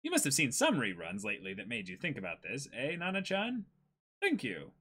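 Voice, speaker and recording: Bright, animated young man, fairly high-voiced. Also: brisk, slightly rough. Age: young adult. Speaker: co-host. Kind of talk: casual chat and reading aloud.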